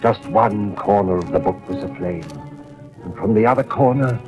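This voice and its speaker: An actor playing a weary, anxious husband who narrates a suspense tale in measured, dramatic 1940s-50s radio style. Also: male voice, fairly low pitch, close to an everyday voice. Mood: tense, brooding. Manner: measured, dramatic first-person storytelling. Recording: muffled vintage radio recording with music.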